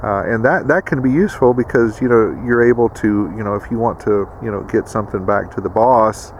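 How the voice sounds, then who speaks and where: A man hosting a casual instructional vlog with a gravelly voice, addressing viewers in a folksy way. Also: fairly low pitch, fairly quick, a little hiss or hum. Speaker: video host.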